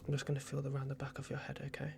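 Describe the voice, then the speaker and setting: A man voicing a playful, fussy vampire doctor in an ASMR roleplay, murmuring halting reassurances in a breathy whisper. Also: mid-range voice, completely flat delivery, background noise, natural voice. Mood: gently attentive. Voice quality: breathy whisper with gravelly creak.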